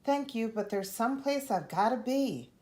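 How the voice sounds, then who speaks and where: A woman's voice: on the high side, expressive, moderate pace, a little mellow, pitched above the performer's own voice, close to an everyday voice. A woman voicing an earnest, courteous and determined nine-year-old boy in a picture-book story. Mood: friendly, resolute.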